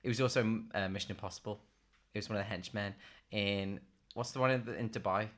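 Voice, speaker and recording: Bright-voiced man chatting about films. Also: fairly low pitch, steady tone, fast talker. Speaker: film podcast host. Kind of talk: casual film chat among friends.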